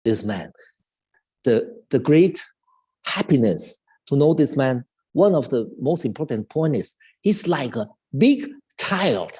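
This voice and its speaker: Man, 50s, Chinese accent, native Chinese speaker, cello teacher, live online chat, unhurried delivery, husky. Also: medium pitch, big pitch swings, darkish tone. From China. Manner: halting, with many restarts and fillers.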